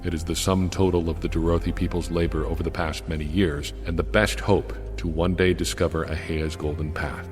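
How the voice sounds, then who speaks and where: Male actor as guilt-ridden devout letter writer in fantasy audiodrama; very low, solemn, archaic delivery, cavernous reverb, music beneath. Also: level tone, a little fast, hardly any pauses, close to an everyday voice. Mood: solemn remorse. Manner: formal, archaic, penitent. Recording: long hall reverb over music.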